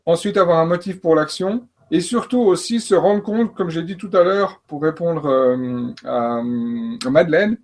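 A man's voice: a little high, a little slow, few pauses, a little mellow. Male coach, slightly raised voice, hesitant, lots of fillers. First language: French. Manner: hesitant, many fillers and restarts. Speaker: coach and trainer. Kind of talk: panel talk on learning.

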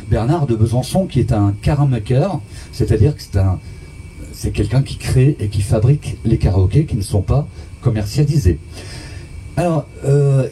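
A man's voice: medium pitch, mellow, slight background noise, low-quality recording. A man hosting a live radio karaoke show, chatting to listeners and introducing their song requests. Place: radio studio. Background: karaoke music.

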